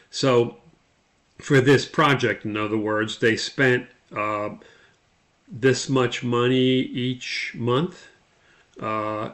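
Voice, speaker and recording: A man giving an instructional walkthrough as a course instructor, explaining in a halting way and spelling out terms. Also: on the low side, a bit bright.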